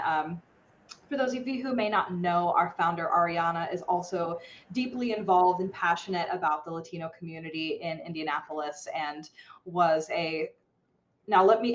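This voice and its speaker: A woman's voice: lowish voice, a little hiss or hum. Upbeat woman podcast host, lo-fi sound. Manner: upbeat, enthusiastic. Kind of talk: casual interview.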